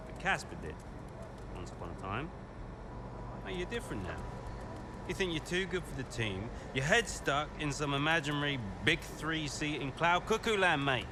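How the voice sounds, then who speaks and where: An actor playing a cocky, needling ex-racing driver in a video game, talking in a casual, matey way. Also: male voice, on the high side, lively intonation, normal speed, close to an everyday voice. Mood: needling, frank. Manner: casual, hesitant fillers, matey. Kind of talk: dramatic game cutscene dialogue. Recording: clear background noise of cars outdoors.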